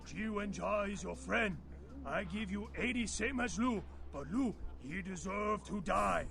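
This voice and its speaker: A man's voice: high voice, measured pace, gravelly. A man playing a pleading, scheming old Chinese hitman in a video game, in insistent broken English with a Chinese accent. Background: music.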